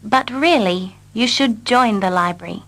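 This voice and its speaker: A woman repeating short English dialogue lines slowly and carefully for learners. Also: medium pitch, fairly bright tone. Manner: carefully repeats each line. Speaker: English teacher. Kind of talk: slow scripted reading for learners.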